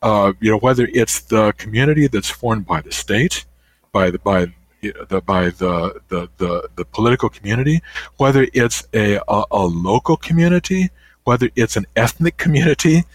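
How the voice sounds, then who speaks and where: An older man, a guest author, answering interview questions in a thoughtful, halting way with many restarts. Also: lowish voice, lively intonation, no echo.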